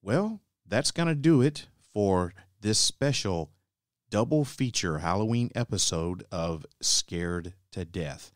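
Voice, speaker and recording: A man's voice: lowish voice, expressive, slow pace, no room sound. A man speaking as a podcast host, addressing his listeners on a close mic. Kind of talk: podcast sign-off narration.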